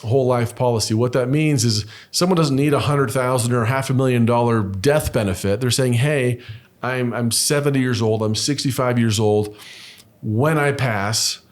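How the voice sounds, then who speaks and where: A man's voice: mid-range voice, normal speed. A middle-aged man, an insurance broker co-hosting a chat, explaining things patiently step by step with examples.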